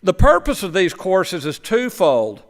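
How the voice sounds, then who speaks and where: A man's voice: high voice, huge pitch swings, unhurried. A man gives a plain, explanatory church presentation about farming work with slides, sometimes tripping over his words. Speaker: missionary agriculture lecturer. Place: church sanctuary. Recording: long church reverb.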